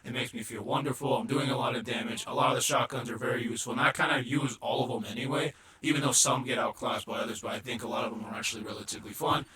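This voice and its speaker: A man's voice: mid-range voice, racing, hardly any pauses, roomy sound, very noisy. A man giving casual gaming commentary in a chatty, repetitive way, his voice sounding robotic. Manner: chatty, keeps repeating "not gonna lie". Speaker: gaming video creator.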